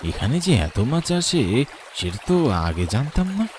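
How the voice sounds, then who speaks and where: A man's voice: fairly high pitch, very animated, moderate pace, a little mellow, slight background noise. A man acting a secretive, greedy village fisherman in a cartoon, musing to himself with satisfaction. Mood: sly, pleased.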